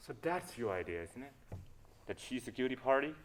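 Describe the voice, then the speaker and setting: A man's voice: medium pitch, big pitch swings, brisk, slight background noise, natural voice. A young man acting a wry, suspicious adventurer with a sardonic, questioning manner in a student stage play. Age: young adult.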